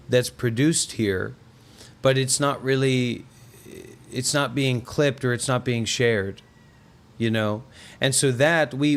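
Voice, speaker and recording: Male host, thirties, average pitch, casual livestream conversation, rambling conversational delivery. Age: 30s. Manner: discursive, often says "you know". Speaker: host, former monk and content creator.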